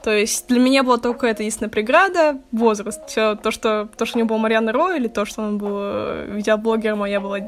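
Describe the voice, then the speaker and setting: A young woman in her twenties co-hosts a casual podcast and tells stories in a loose, slangy way. Her voice sits fairly high. Music plays clearly behind her. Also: steady tone, fast, hardly any pauses. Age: 20s. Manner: chatty storytelling with slang. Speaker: podcast co-host. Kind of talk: casual chat.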